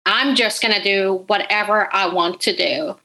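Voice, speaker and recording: A woman in her forties, originally from Sweden, tells her story as a guest in a casual interview. Her voice is bright and a bit gravelly, and she talks in a candid, chatty way with easy laughs. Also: medium pitch, moderate pace, rough recording. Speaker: guest, podcast host and entrepreneur.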